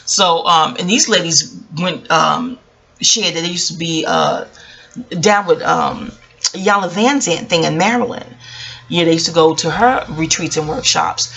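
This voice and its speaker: A woman's voice: sits low, big pitch swings, unhurried, fairly bright tone, rough recording. A woman from Queens telling a story casually to viewers, conversational, slipping into reported dialogue. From Queens, New York. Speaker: vlogger.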